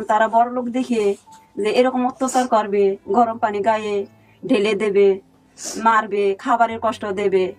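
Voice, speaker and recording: A woman's voice: fairly high pitch, poor audio. A woman with a Bangladeshi accent telling a personal story as a guest. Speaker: guest, relative of another guest.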